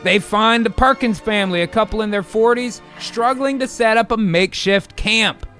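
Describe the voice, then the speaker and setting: A man's voice: high voice, normal speed, pushed and bright, faint music behind. A man hosting and narrating an improvised scene, slipping into irreverent, profane banter. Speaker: narrator and host.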